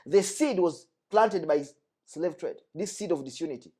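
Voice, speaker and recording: A man's voice: on the high side, animated, normal speed, mellow, husky. Passionate Congolese-born man, emphatic. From Democratic Republic of Congo. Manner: passionate, emphatic, repeats key phrases. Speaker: guest. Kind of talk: earnest discussion.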